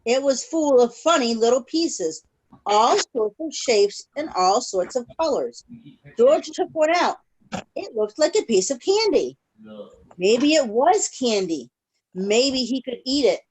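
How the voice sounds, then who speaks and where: High-pitched woman reading a children's storybook. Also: lively intonation, darkish tone, husky, low-quality recording. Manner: pauses to check in with young listeners. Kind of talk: read-aloud storytime with questions to children. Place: online video call. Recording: video call audio.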